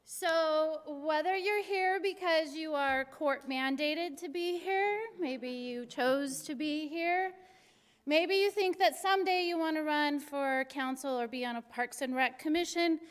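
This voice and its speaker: High-voiced, upbeat woman in an echoing hall. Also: a little slow. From Arizona, USA. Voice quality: very high-pitched voice. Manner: energetic, passionate and joking. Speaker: city recreation superintendent. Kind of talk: civic presentation to a class. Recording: long hall reverb.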